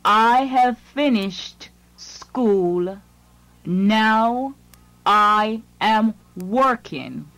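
Very slow, deliberate woman reading a lesson. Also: medium pitch, expressive, fairly bright tone, no room sound, low-quality recording. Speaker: language lesson narrator.

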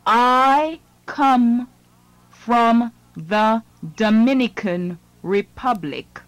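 Female lesson narrator, very slow, measured, dry studio sound. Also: fairly high pitch, no room sound. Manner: slow, deliberate, phrase by phrase. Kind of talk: scripted lesson reading.

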